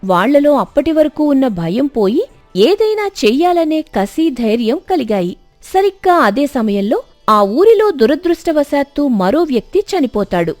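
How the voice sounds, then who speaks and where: Woman narrator, high pitch, dramatic scripted storytelling, emphatic, close dry recording, faint music. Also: big pitch swings, normal speed, a little mellow, no echo.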